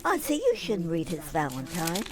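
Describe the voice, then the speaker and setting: A woman playing a sensible, gently chiding mother in an old-time radio family comedy. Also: on the low side, wildly swooping pitch, normal speed, noisy background. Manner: gently reproving.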